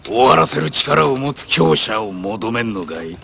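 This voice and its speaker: A man voicing a bold, easygoing warrior in a video game, gravelly, with rough and familiar casual speech. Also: mid-range voice, lively intonation, measured pace, pushed and bright, a little hiss or hum, heavily acted. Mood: probing, casual. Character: bold, easygoing male warrior questioning his master. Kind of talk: video game cutscene acting.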